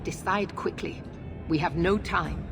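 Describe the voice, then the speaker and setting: A woman's voice: lowish voice, unhurried, music in the background. A woman playing a stern, impatient knight commander in a video game, giving clipped, imperious orders. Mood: urgent, commanding. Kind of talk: video game cutscene acting.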